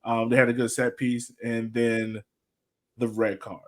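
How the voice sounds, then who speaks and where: A man's voice: medium pitch, flat delivery, unhurried. A man hosting a soccer podcast in casual, conversational talk. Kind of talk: casual chat.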